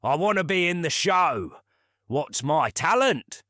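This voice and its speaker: A woman's voice: deep voice, takes it slowly, no echo, clean studio sound, exaggerated voice. Woman as a bouncy bulldog, wildly swooping voice. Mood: eager. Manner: excitable, barking, simple short phrases. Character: bouncy eager male bulldog.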